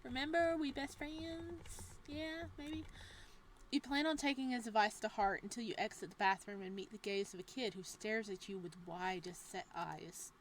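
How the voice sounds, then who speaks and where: A young woman playing a visual novel. She reads the narration softly and breaks into loud, joking asides, casual and sometimes profane. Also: a little high, moderate pace, slight background noise, poor audio. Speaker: game streamer. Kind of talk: reading a visual novel aloud with commentary.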